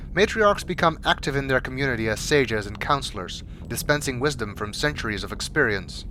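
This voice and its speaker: A man reads scripted reference entries aloud as a narrator, in a measured, expository manner. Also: mid-range voice, fairly bright tone, slight background noise. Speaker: video maker narrating game codex entries. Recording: music behind the voice. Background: clear background music.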